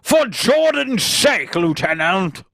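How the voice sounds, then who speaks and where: A man's voice: high voice, very animated, vocal fry, fairly bright tone, no room sound, full character voice. A man playing an earnest, anguished idealist in an impassioned, theatrical radio play reading. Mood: pleading. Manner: impassioned, dramatic, drawn-out delivery. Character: earnest, anguished male idealist pleading for peace.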